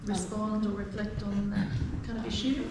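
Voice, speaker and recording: A woman moderating a live panel at a book launch, phrasing thoughtful questions in a tentative, measured way. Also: mid-range voice, flat delivery. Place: live event in an echoey room. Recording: echoey room, distant mic, noisy background.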